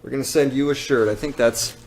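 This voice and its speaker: A man's voice: medium pitch, fairly even intonation, fast, a little hiss or hum, rough recording. Joking Canadian man on a livestream. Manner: joking, rambling storyteller. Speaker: business owner hosting a live giveaway. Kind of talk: casual livestream chat.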